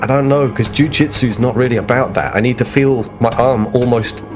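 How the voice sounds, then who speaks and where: A man's voice: medium pitch, a little fast, music in the background, close to an everyday voice. A man playing a stubborn martial-arts enthusiast aboard a starship, earnest and trying to sound sage, in a tabletop game. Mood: earnest, self-important. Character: stubborn, self-important male martial-arts enthusiast crewman.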